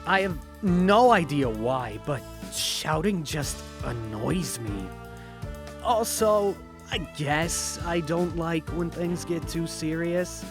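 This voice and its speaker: A man voicing a suave, laid-back French seventeen-year-old talent scout in an audio drama interview, calm and confident. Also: a little high, slow, over music, pitched above the performer's own voice. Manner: calm, confident, silver-tongued.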